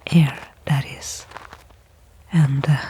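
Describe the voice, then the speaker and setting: A woman playing a weary but hopeful stranded space worker in a whispered ASMR sci-fi roleplay, speaking in hushed, reflective narration with pauses. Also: extremely low pitch, steady tone, slight background noise, exaggerated voice.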